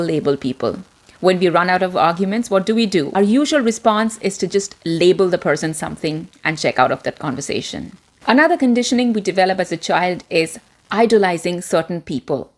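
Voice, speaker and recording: A woman presenter with an American-influenced transitional accent, giving a deliberate, clearly spoken self-help talk to camera. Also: medium pitch.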